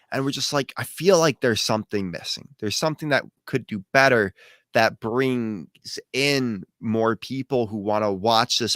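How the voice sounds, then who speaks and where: A man, co-founder of a livestream show, recalling its start in an earnest, halting way full of fillers. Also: lowish voice, fairly bright tone, no room sound, studio quality. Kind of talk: casual livestream chat.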